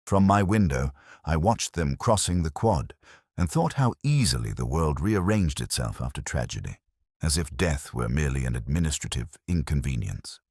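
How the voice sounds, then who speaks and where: Very deep solemn male narrator, close and dry. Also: lively intonation, a little mellow, husky, no echo. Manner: measured, literary, solemn. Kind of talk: first-person scripted detective story narration.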